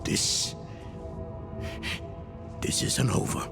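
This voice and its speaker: An actor playing a weary, solemn older guardian and father in a fantasy game, speaking gravely with a gravelly voice. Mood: anguished. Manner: grave, measured. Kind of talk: dark fantasy game dialogue acting. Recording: music behind the voice. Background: music.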